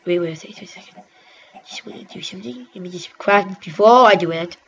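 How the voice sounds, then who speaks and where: A woman giving rambling, excitable let's-play commentary over her gameplay, talking straight to her viewers. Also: lowish voice, a little fast, a little hiss or hum. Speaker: gaming commentator. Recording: dull lo-fi mic with faint music.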